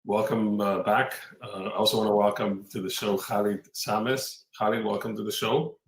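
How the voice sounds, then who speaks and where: A male podcast host introducing a film and then questioning his guest, with a halting delivery full of fillers. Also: on the low side, flat delivery, gravelly, echoey room, rough recording.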